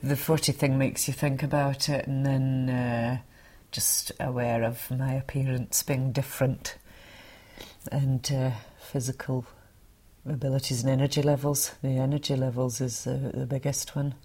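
Very low, flat, breathy woman. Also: unhurried. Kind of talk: hesitant personal reflection in an interview.

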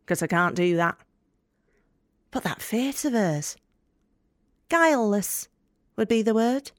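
A blunt young working-class woman with a Northern English accent tells her story in an audio play. She is deadpan and sardonic, confiding in a mid-pitched voice, recorded close and very dry. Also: dry recording. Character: blunt, wry young working-class female debt collector with ailing mother. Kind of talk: dramatic monologue in an audio play.

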